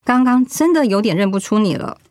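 Actress as gentle middle-aged woman, dry sound. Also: medium pitch, expressive, fairly quick, no room sound, natural voice. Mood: nostalgic. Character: kind, attentive middle-aged well-off housewife, old friend. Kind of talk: radio drama acting, conversational.

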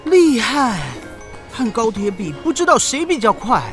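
Schoolboy: brash, scatterbrained, whiny, lively swooping intonation, children's audio drama with light music. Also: male voice, very high-pitched, a little slow, full character voice. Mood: excited.

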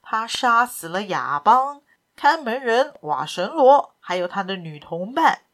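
A suave, self-assured gentleman thief in a storybook reading, as a woman narrator puts him on. He lays out his case with ringing, declamatory certainty, sweeping widely in pitch at an unhurried pace. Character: suave male gentleman thief, confident and commanding.

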